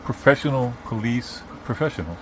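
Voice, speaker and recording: A man playing a stubborn, opinionated rambler in improvised comedy, arguing bossily in a halting, repetitive way. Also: mid-range voice, animated, close to an everyday voice, poor audio. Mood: earnest, rambling. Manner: bossy, long-winded, argumentative. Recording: background noise, informal non-studio room.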